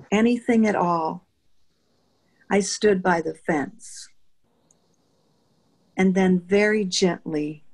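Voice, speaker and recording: A woman reading poetry aloud in a slow recitation. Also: medium pitch, darkish tone, slightly rough, low-quality recording. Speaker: poetry reader.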